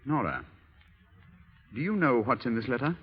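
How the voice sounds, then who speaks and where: Patronizing, ardent husband, swooping old-radio delivery. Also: male voice, medium pitch, big pitch swings, moderate pace, husky. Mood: teasing, then shocked. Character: adoring, condescending middle-class husband in a period drama. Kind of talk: 1930s radio acting. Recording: muffled old radio drama recording.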